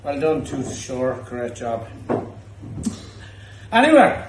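A man's voice: mid-range voice, very animated, slow, distant mic. A man in his thirties with a Cork Irish accent, hosting a pub tasting show in cheeky, teasing banter. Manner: cheeky, teasing, swears freely. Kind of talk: casual banter while tasting drinks. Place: indoor pub bar. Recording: echoey pub room with faint noise.

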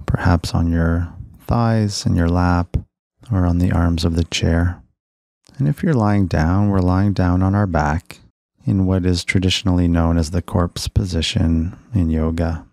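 Slow, low-voiced man guiding meditation. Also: creaky, dry recording, studio quality. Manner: measured, gentle instructional delivery. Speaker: meditation guide. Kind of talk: guided body scan meditation.

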